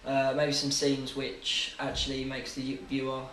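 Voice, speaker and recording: Teen male interviewee, flat even delivery, halting with fillers; recorded far off in an echoey room. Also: mid-range voice, a little hiss or hum. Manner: hesitant, filler-heavy. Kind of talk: casual answers.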